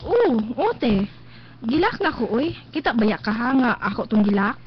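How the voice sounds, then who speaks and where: An actress playing a timid, sorrowful younger sister in a radio drama, plaintive and hesitant, with a Visayan Filipino accent. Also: female voice, a little high, very animated, normal speed, a little hiss or hum. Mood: weary. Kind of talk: Philippine radio drama acting. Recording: dull lo-fi live stream, music behind.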